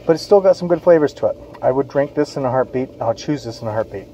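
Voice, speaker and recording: A man's voice: mid-range voice, animated, fast, warm tone, slight background noise, poor audio. A man from Western Canada, a parent, giving a casual, chatty beer review on an outdoor patio. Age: adult, parent of kids. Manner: chatty, informal, reads label aloud.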